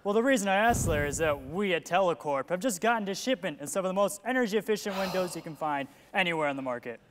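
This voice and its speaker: A man's voice: sits high, lively intonation, fast, fairly bright tone. An actor playing a relentlessly upbeat, pushy salesman of the future in a comic stage play, full of glib patter. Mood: chirpy, pushy. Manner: glib sales patter, talks over objections. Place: theater stage at a play festival. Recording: echoey stage hall, noticeable hiss.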